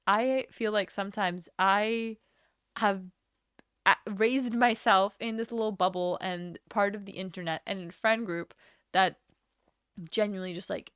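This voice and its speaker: A young woman from Latvia streams from her home setup and chats loosely with her viewers, drifting between topics and dropping casual swears. Her voice sits at an ordinary middle pitch. The sound is close and dry, with no room echo. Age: young adult. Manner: chatty and rambling, swears freely. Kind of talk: casual live-stream chat.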